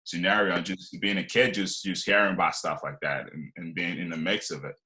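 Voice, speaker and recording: A man's voice: sits low, steady tone, brisk, sharp tone. A man in his twenties, originally from Liberia, telling his life story as a podcast guest in a loose, rambling way full of fillers and restarts. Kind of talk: casual conversational storytelling.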